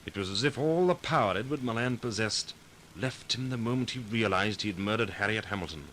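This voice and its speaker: A brave, confident sleuth from a 1940s radio serial. His low, forceful voice is earnest and crisp, on a slightly noisy old recording. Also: animated, a little fast. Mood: grave, relieved.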